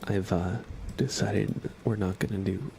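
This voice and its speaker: A soft-spoken man who sounds slightly under the weather, chatting casually as an ASMR livestream host and often slipping into whispers. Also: low voice, steady tone, measured pace, a little hiss or hum.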